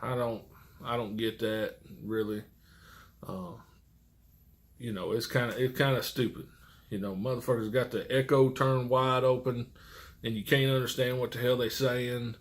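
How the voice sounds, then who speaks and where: A man's voice: mid-range voice, steady tone, moderate pace. An American man who works as a truck driver, giving casual, rambling tips in blunt, profane, folksy vernacular. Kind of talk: casual rambling vlog monologue.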